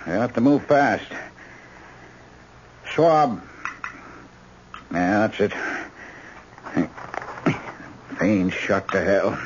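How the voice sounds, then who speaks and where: Brisk commanding male doctor, dull radio recording. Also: on the low side, lively intonation, a little hiss or hum. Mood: urgent, focused. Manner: clipped, authoritative, giving orders. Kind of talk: old-time radio drama acting.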